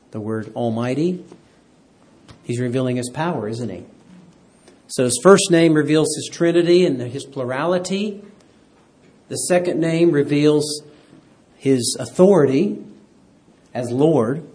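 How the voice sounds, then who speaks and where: Husky man teaching a Bible class. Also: medium pitch, darkish tone. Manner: quizzes the class, asks for amens. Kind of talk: interactive Bible lesson.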